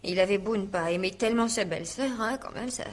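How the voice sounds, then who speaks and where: A woman's voice: medium pitch, quick pace, natural voice. An actress playing a naive, chatty eighteen-year-old housemaid in a French radio mystery, stammering and rambling as she gives testimony. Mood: chatty, earnest. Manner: stammering, rambling, emphatic, colloquial.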